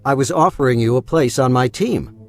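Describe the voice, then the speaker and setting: A rich, arrogant gentleman, acted by a man in a sci-fi audio drama, speaking with formal, pompous self-importance. His pitch is mid-range, with light music underneath. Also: a little fast, close to an everyday voice. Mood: smoothly persuasive. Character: smooth, arrogant wealthy man recruiting doctors, self-important.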